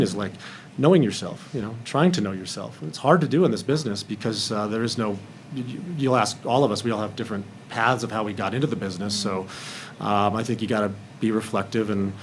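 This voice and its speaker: A man's voice: lowish voice, quick pace, slight background noise. A man speaking candidly as a film panelist in a roundtable discussion, acting out bits of remembered conversation.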